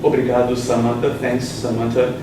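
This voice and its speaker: A man from Brazil with a Brazilian Portuguese accent, giving an academic conference talk in a halting way with many pauses and fillers. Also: mid-range voice, monotone. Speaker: conference presenter. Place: conference session room. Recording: echoey room from far off, noisy.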